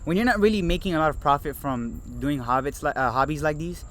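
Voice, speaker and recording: A man's voice: fairly high pitch, lively intonation, quick pace. Hesitant young man, filler-heavy. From Miami. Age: early 20s. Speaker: podcast co-host. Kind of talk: casual podcast chat.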